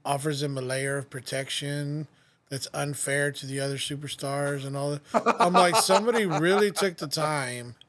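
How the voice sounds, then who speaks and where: Rambling podcast host, higher-voiced man. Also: moderate pace, fairly bright tone. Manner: rambling storyteller, many restarts and "like". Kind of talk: casual podcast chat.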